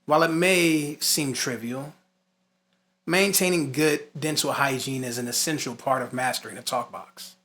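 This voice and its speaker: A man with a bright, crisp-edged voice at a middle pitch teaches like a music instructor. He works through prepared points in a conversational way, dropping in casual asides and leaning on key phrases for emphasis.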